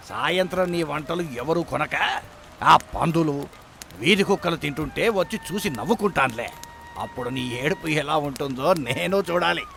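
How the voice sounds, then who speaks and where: A man playing an arrogant, mocking rich village hotel owner in a dramatized moral story, with a gravelly voice. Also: a little high, pushed and bright, slight background noise, heavily acted. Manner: scornful, taunting, pompous.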